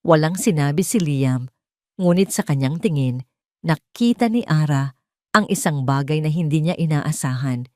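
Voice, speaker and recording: Woman, storyteller, slightly low pitch, scripted dramatic narration, dry close sound. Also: expressive, normal speed, mellow, dry recording.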